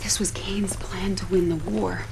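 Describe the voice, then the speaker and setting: An actress playing an intense, ambitious and guarded military commander in a dramatic video game cutscene, controlled and urgent. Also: female voice, lowish voice, fairly even intonation, measured pace, creaky, background noise. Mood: guarded, suspicious.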